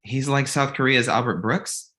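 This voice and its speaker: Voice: mid-range voice, moderate pace, a bit bright, lightly acted. An actor playing a glib, self-absorbed amateur male film director in a comedy table read, breezy and confident.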